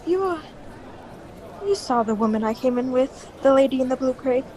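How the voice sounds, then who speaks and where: A woman's voice: high voice, animated, normal speed, darkish tone, a little hiss or hum. A woman playing a nervous, polite, evasive character who hides her dread in an old-time radio drama. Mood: shaken, nervous. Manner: hesitant, uneasy, polite.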